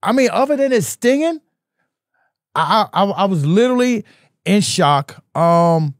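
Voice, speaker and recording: A man's voice: sits high, very animated, measured pace, no room sound, clean studio sound. A man in his sixties, talking earnestly as a podcast guest in casual chat, often repeating himself. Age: 60s.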